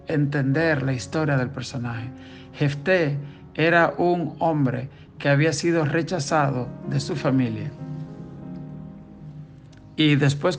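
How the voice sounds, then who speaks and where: A man with a southern Dominican accent, a pastor giving a daily devotional sermon, reading scripture and explaining it in a conversational preaching tone. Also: fairly high pitch. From southern Dominican Republic.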